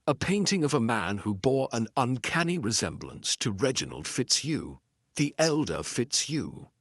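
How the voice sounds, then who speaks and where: Guy reading a detective story, slow n deliberate, lots of pitch movement, dry sound. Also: sing-song, dry recording. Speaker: audiobook narrator. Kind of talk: scripted dramatic story narration.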